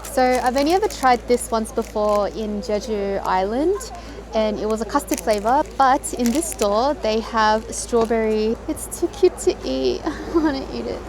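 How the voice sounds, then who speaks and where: A woman's voice: fairly high pitch, normal speed, noisy background. A woman travel vlogger talking casually to the camera, chatty and upbeat with light giggles. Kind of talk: casual vlog chat to camera. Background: background music.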